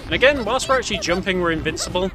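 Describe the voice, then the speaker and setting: A man's voice: sits high, expressive, rapid-fire. A man giving casual, explanatory commentary on a video game walkthrough, talking the viewer through as "we". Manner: explanatory, speaking as "we". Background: game music.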